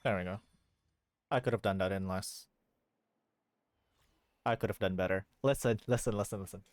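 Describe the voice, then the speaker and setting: A man's voice: low voice, fairly even intonation, normal speed, no echo. A man livestreaming a video game with casual, wry, grumbling commentary.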